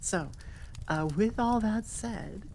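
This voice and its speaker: A woman's voice: medium pitch, takes it slowly, gravelly, a little mellow, a little hiss or hum. A woman creator of audio roleplays talking to her listeners in an informal, rambling, self-deprecating way. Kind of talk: casual unscripted chat.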